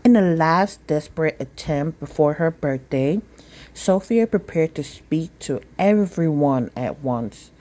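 Female storytime reader, slow and deliberate, with a mellow tone for children's picture books. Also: deep voice, lively intonation. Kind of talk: children's picture book read-aloud.

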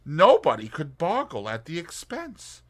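A man reading an audiobook, voicing a brisk and determined young woman detective with emphatic, crisp speech. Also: a little high, wildly swooping pitch, voice pushed up, heavily acted.

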